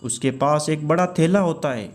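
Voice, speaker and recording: A man narrating a children's cartoon story in a steady storytelling manner. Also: fairly high pitch, moderate pace.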